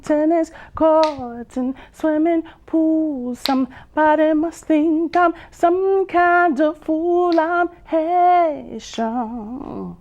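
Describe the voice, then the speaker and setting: A woman poet and vocalist performing spoken-word poetry with drawn-out phrases, pauses and hums, some lines half sung. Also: high-pitched, darkish tone, slight background noise. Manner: slow, drawn-out delivery with long pauses and hums. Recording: dull lo-fi sound.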